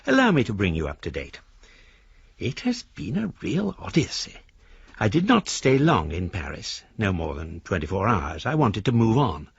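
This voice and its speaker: Casting: pompous older gentleman for radio drama, husky and animated, reading flowery letters; dry, slightly dull sound. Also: lowish voice, moderate pace, no echo, full character voice. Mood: expansive, self-satisfied. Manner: pompous, flowery, ornate phrasing. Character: courtly, florid older gentleman, newly rich and travelling.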